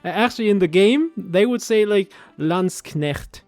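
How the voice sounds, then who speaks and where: German guy with a highish voice, casual game commentary, bit of music behind. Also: lively intonation, unhurried, a little mellow, no room sound. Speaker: co-commentator explaining video game voice lines.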